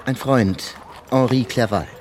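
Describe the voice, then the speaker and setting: An actor playing an earnest, insistent gentleman in a radio drama. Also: mid-range voice, slow, a little hiss or hum, lightly acted. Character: earnest, insistent gentleman visiting his best friend.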